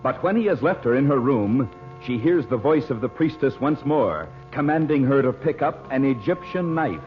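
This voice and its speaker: Animated American radio announcer, old muffled broadcast. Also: male voice, mid-range voice, moderate pace, faint music behind. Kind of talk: 1940s radio announcing, scripted narration and sponsor pitch.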